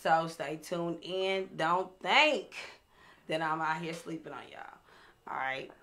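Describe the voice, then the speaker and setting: Bright, lower-pitched woman chatting casually. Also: slow pace. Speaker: podcast co-host. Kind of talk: casual chat, plugging social accounts.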